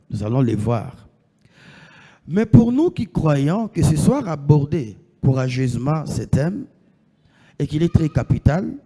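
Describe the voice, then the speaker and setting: A man's voice: on the high side, dark tone, in a large hall. A man in his thirties preaching a sermon, asking the congregation questions and answering them himself, repeating his phrases. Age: 30s.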